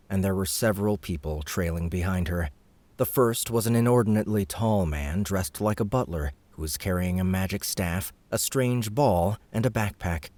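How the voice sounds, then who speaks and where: A man narrating an audiobook in a steady, measured storytelling manner, close to the mic. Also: lowish voice, dry recording. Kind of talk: scripted narration.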